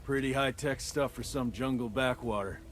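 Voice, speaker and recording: A man playing a steady commando leader. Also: medium pitch, moderate pace, natural voice. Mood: calm. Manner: clipped, matter-of-fact orders. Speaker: special forces team leader.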